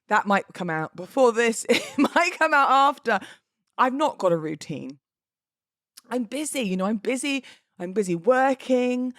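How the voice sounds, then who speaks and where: A woman's voice: medium pitch, no echo, studio quality. A British woman, likely in her thirties, hosting a casual solo podcast in a chatty, self-deprecating, playfully emphatic way. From United Kingdom. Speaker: podcast host; wedding celebrant.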